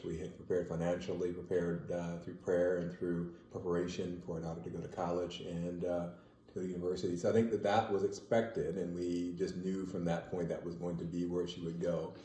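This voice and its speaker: A man with a very low, mellow voice speaks in an even, measured way as he explains his views to an interviewer. His voice carries some room echo, with a faint hiss of noise underneath. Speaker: interview guest, parent. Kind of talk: conversational interview answers.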